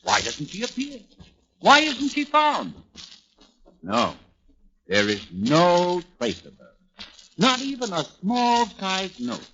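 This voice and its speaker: An actor playing a fiery, sardonic American prosecutor orating to a jury in a 1940s radio drama. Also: male voice, high voice, wildly swooping pitch, slow, long pauses, sharp tone, heavily acted. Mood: mocking, triumphant. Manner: oratorical, rhetorical, sardonic. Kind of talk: 1940s radio acting, courtroom oratory. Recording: dull, noisy 1940s radio recording.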